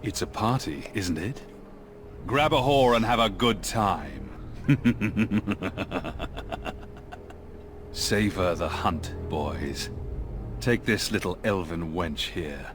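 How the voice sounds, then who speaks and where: An arrogant young lord from a fantasy game, lecherous and sneering. He speaks deep and slow with big swings of pitch, over clear music. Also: animated, heavily acted. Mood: leering. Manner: sneering, leering, drawn-out. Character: arrogant, lecherous young human nobleman villain. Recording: music under the voice.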